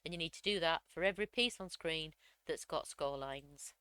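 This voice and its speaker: Slightly croaky woman explaining crafts. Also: sits low, normal speed, bright, forceful tone, dry recording. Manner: chatty, step-by-step explaining. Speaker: craft tutorial host. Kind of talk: screen-recorded software tutorial.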